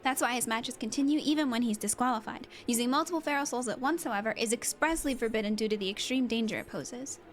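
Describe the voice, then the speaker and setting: A woman's voice: a little high, quick pace, few pauses, lightly acted. A woman voicing an earnest, anxious and well-informed ally in a video game story, explaining things with care. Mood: concerned. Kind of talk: amateur voice acting of game dialogue.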